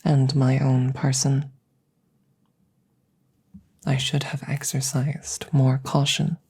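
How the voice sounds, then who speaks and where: A woman voicing a curious, cautious alien scientist in a soft, hushed ASMR roleplay, speaking formally and deliberately and slipping into whispers. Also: rumbling low, level tone, slightly rough, full character voice. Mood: grave concern. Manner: measured, formal, deliberate phrasing.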